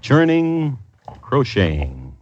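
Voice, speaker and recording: A man's voice: mid-range voice, wildly swooping pitch, takes it slowly, slight background noise. A man narrating a scripted old-time radio drama as a storyteller, with a wry, literary manner. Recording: muffled vintage radio recording. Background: music.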